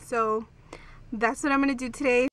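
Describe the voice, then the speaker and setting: A woman's voice: on the high side, steady tone, normal speed, fairly bright tone, soft background music, poor audio. A young woman in her twenties chatting casually to a handheld camera as a beauty vlogger, giggly and full of "like". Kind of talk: casual vlog chat. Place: car, home and stores. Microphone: handheld camera at arm's length.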